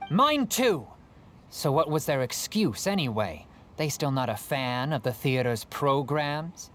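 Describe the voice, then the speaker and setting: An actress playing a wry, tough bazaar local who talks in a defiant way. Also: female voice, a bass voice, expressive, unhurried, fairly bright tone, slight background noise, full character voice.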